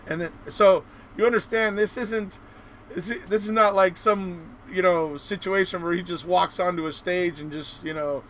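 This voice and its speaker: Higher-voiced man hosting a casual chat. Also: fairly even intonation, moderate pace, fairly bright tone, slight background noise. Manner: encouraging, rambling. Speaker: host of a live online show.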